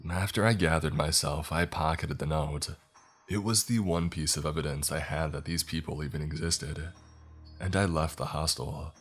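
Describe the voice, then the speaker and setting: A man narrates a scripted horror story in the first person. His voice is exceptionally low, and his delivery is dramatic and suspenseful. Faint music plays behind him.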